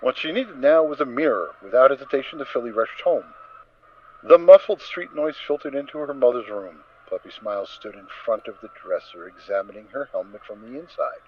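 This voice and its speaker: A man narrating a story as a dramatic reading, with expressive, theatrical emphasis. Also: medium pitch, a little fast. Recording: dull recording with faint noise.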